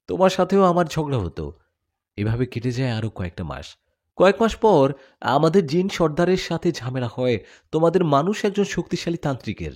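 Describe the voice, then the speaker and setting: A man voicing a devoted warrior jinn husband in an audio story, speaking in an earnest, dramatic, confessional way. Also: mid-range voice. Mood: sorrowful.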